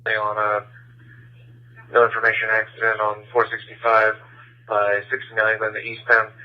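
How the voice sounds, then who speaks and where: Male dispatcher, lowish voice, matter-of-fact incident bulletins, dull scanner audio. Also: completely flat delivery, normal speed. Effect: police radio. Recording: muffled police radio scanner transmission.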